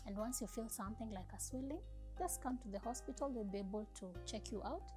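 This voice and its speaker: A woman health professional explaining treatment advice calmly and steadily, repeating her points for emphasis. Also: on the high side, fast, music in the background.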